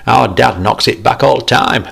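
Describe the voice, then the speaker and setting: A man voicing a loud, cheeky young boy. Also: medium pitch, moderate pace, vocal fry, slightly rough, pitched above the performer's own voice, full character voice. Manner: loud and excited.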